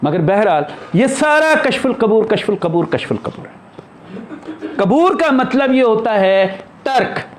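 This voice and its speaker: A man's voice: high voice, sing-song, a little slow, a little hiss or hum. A man teaching a class in a conversational religious discourse, explaining and posing rhetorical questions. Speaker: spiritual teacher lecturing a class.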